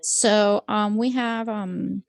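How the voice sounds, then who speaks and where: A woman giving a simultaneous interpretation into English over a video call, halting and pausing often with fillers. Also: medium pitch, fairly even intonation, gravelly, dry recording. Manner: halting, with frequent um fillers and restarts.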